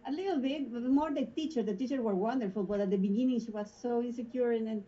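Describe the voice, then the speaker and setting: Spanish-accented woman, soft and husky. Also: a little high, quick pace, highs cut off. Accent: Spanish-speaking. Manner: laughs often while telling stories. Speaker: school front office staff. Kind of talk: casual interview conversation.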